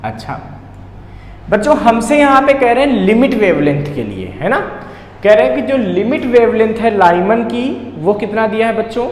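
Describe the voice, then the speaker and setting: A man's voice: high voice, big pitch swings, moderate pace, a little mellow. A man with an Indian accent teaching physics step by step, asking questions and answering them himself, with English technical terms mixed in. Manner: mixes English technical terms into his talk. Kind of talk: step-by-step problem-solving lesson. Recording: echoey reverberant room, faint background noise.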